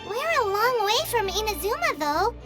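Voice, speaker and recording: Tiny childlike game mascot: very high squeaky voice, swooping intonation, naive, curious, excitable. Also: female voice, moderate pace, loud music behind the voice. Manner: refers to self in third person, exclaiming. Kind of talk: video game voice acting.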